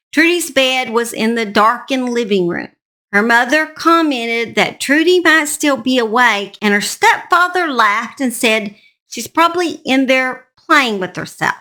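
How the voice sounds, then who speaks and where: Woman, true crime host, high-ish pitch, bright forceful tone, storytelling narration, informal asides.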